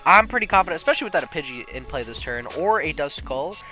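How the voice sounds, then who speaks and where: A young man with a rather high, bright and forceful voice talks his way through an online card game, slightly sniffly from allergies. He thinks out loud in loose slang and breaks into quick excited laughs. Soft music plays faintly underneath. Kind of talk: live commentary while playing an online card game.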